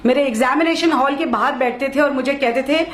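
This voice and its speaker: A woman's voice: sits high, brisk, fairly bright tone, roomy sound. A woman from Maharashtra giving a public speech, mixing Hindi with English phrases and repeating lines for emphasis. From Maharashtra, India. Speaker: lawyer giving a speech at a function.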